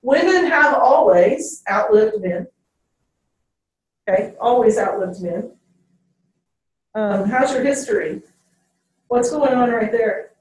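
A woman's voice: on the high side, lively intonation, slow pace, pauses often. A woman teacher giving a classroom lecture, checking in with "okay?" after each point. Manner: ends phrases with "okay?". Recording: very echoey classroom, distant mic.

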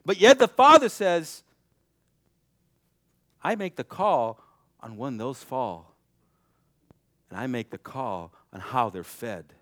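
A young adult man preaching a sermon, swinging from loud, shouted exhortation to quiet, intimate passages. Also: sits high, no room sound, studio quality.

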